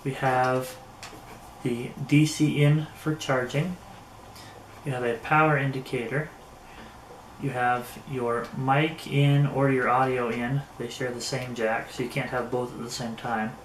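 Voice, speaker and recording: A man giving a casual hands-on product review, speaking in a halting way with pauses mid-phrase. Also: medium pitch, flat delivery. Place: indoors in an echoey room. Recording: roomy echo, faint background noise.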